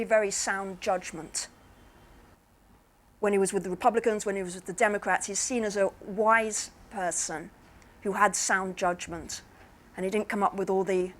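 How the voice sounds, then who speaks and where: A woman speaking as an invited speaker, answering audience questions in a reflective, plain-spoken, explanatory way. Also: medium pitch. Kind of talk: measured Q&A answers.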